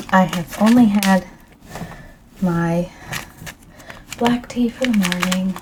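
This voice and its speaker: A middle-aged woman speaking softly during an ASMR tea tasting, her voice croaky, clearing her throat often, rambling gently with fillers. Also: medium pitch, a little hiss or hum, poor audio. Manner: rambling, soft and unhurried with fillers.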